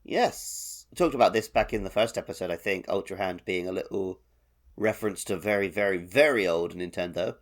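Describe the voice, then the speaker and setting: A man with a fairly low voice talks as a podcast co-host. He keeps it conversational and informal, in the style of a chat about video games. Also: a little fast.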